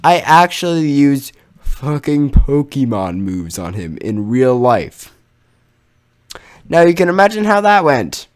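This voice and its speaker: A young man telling a casual personal story as a YouTuber, in an animated, exaggerating manner with slang and swearing. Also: medium pitch, slow pace.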